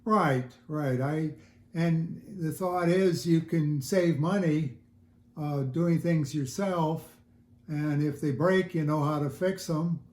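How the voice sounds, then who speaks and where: An older man chatting casually in an interview, explaining things in a halting, thoughtful way. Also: a little high, darkish tone. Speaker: interview guest, audiophile hobbyist. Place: indoors at home listening room. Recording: roomy, echoey home recording.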